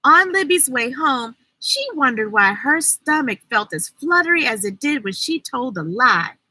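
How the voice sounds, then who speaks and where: A woman reading a children's story aloud, expressive and deliberate, adding her own asides. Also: sits high, no room sound. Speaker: storyteller, host.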